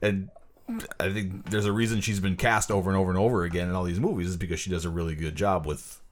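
A man's voice: low-pitched, steady tone, quick pace, a little hiss or hum. A man chatting casually as a panelist on a movie podcast. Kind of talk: casual chat.

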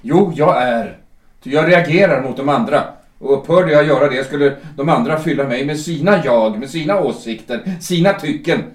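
A man's voice: mid-range voice, recorded from a distance, close to an everyday voice. An actor playing a stubborn, defensive older man who has just died and argues about who he is. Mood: defiant. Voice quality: defensive, grudging. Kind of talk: amateur audio drama acting. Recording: echoey room, voice off-mic.

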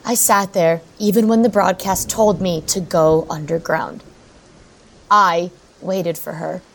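A grieving, worn-out mother, lowish voice. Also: steady tone, poor audio. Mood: grieving, regretful. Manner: halting, emotional, repetitive. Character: grieving, worn-out mother haunted by her runaway daughter. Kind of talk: audio drama acting, monologue.

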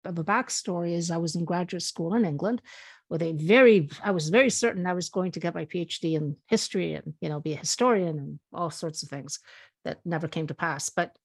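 A middle-aged woman from Spain, co-hosting a podcast and telling a long personal story in a chatty, animated way. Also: fairly low pitch, fairly quick, gravelly, slightly rough, no echo, clean studio sound. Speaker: podcast co-host. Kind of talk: casual storytelling chat.

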